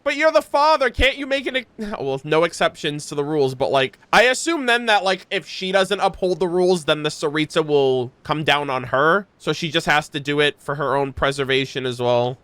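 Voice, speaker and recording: A woman streamer giving casual, expressive reaction commentary on a game, informal and prone to swearing. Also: low voice, moderate pace, hardly any pauses, dry recording.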